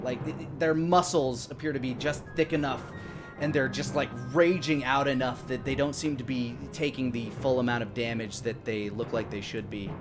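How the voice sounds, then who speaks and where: A man's voice: mid-range voice, fairly even intonation, normal speed. A man in his thirties narrating a tabletop fantasy game as game master, improvising as he goes. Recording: music playing behind the voice.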